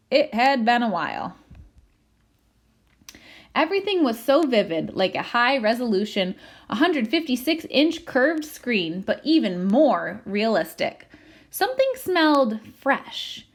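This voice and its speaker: A cheerful, self-satisfied couch potato tells its own storybook tale, voiced by a woman. The voice sits fairly high and swings up and down with playful drama. It is expressive and exclamatory, made for a picture book read-aloud. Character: cheerful, smug, comfort-loving couch potato telling its story.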